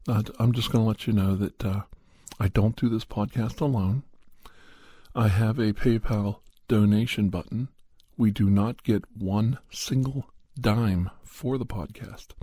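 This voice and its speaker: A man with a low, mellow, husky voice hosts an ASMR podcast in a soft, casual monologue. He has a slight head cold. He is recorded close and dry on a studio microphone. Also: no room sound. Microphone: studio condenser microphone, close.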